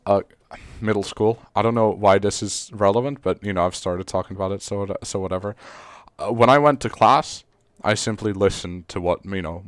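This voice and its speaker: Rambling Serbian teen boy, smooth voice. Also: fairly low pitch, dry recording, clean studio sound. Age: teens. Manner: rambling, many fillers and restarts, swears casually. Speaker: podcast co-host. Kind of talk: casual chat.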